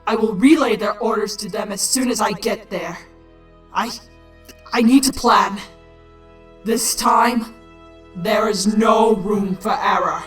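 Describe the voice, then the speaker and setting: A woman playing a proud, bitter, dying insect-like queen in an audio drama, speaking slowly and commandingly. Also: mid-range voice, lightly acted. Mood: fierce resolve. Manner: slow, dramatic, regal delivery. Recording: echoing voice over music.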